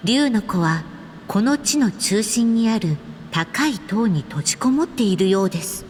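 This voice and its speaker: A woman playing a storybook narrator in a game, telling a fairy tale in a measured, formal way. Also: mid-range voice, expressive, close to an everyday voice. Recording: long reverb, faint background noise.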